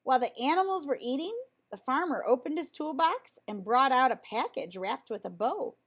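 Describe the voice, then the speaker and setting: Higher-voiced woman reading a children's story. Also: normal speed, slightly rough. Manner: expressive, emphatic storytelling for young children. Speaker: storybook reader. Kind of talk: read-aloud children's story with dramatic emphasis.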